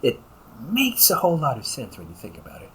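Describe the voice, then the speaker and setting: A man's voice: mid-range voice, wildly swooping pitch, a little fast, fairly bright tone, slight background noise. A man playing a cocky, weary, centuries-old but young-sounding vampire brother in a conversational audio roleplay, grumbling with dry sarcasm. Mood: casual, explaining. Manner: sarcastic, grumbling, often chuckling. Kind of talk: audio roleplay, conversational one-sided dialogue.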